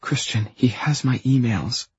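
A man voicing an anxious, pleading young woman in an audiobook, hushed and imploring. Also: mid-range voice, measured pace, breathy edge, dry recording, muffled recording, voice pushed up. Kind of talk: audiobook character dialogue.

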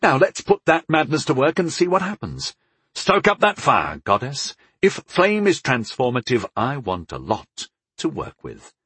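A man's voice: medium pitch, sing-song, unhurried, a bit bright, slightly rough, no echo, pitched above the performer's own voice, heavily acted. A man playing a sarcastic, daring wizard in a fantasy audiobook, wry and musing with eager recklessness. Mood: excited, reckless.

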